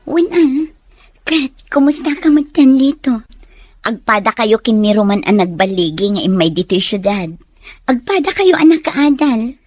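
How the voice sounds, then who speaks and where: A woman's voice: on the high side, lively intonation, a little slow, a little mellow. A woman playing a protective, inquisitive young friend in an Ilocano radio drama. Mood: curious.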